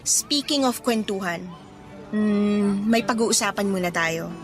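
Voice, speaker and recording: A woman acting in a Filipino radio drama as a cheerful, gentle young woman letting down her suitors. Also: medium pitch, takes it slowly, faint music behind. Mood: gentle, serious.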